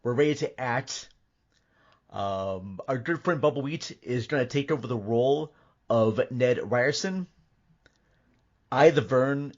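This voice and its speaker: A man hosting a podcast, moving between casual introductions and animated scripted narration of audio drama scenes. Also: mid-range voice, lo-fi sound.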